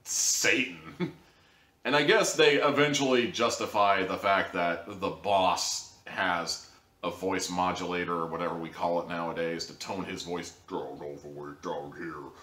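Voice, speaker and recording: Low-voiced man reviewing in a roomy echo. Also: a little slow, pushed and bright. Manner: candid, rambling, full of "like" and "you know". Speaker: audio drama reviewer. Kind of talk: casual spoken review. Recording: roomy echo, voice distant from mic.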